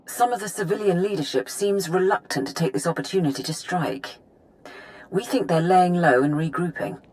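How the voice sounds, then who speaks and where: Woman acting low-voiced brisk Imperial intelligence officer. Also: darkish tone, natural voice. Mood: pragmatic, confiding. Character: brisk, pragmatic female Imperial intelligence officer briefing her commander. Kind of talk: video game voice acting.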